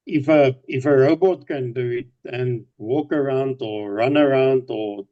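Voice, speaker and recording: Native Afrikaans man, hesitant casual talker, medium pitch, dull lo-fi recording. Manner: halting, many fillers and restarts. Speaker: participant sharing ideas. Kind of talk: casual discussion. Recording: dull lo-fi sound.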